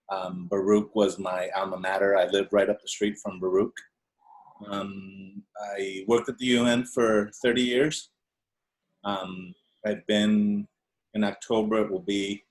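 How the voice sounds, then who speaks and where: A man's voice: on the low side, low-quality recording. Rambling Spanish-native man in his fifties. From Venezuela. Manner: rambling, halting with many fillers and restarts. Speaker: audience member asking a question. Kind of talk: video call question. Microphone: video call.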